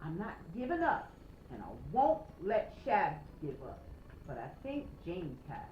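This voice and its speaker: A woman reading a children's novel aloud expressively as a storytime reader, with dramatic, emphatic pauses. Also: on the low side, wildly swooping pitch, a little mellow. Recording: roomy, slightly noisy, dull home recording.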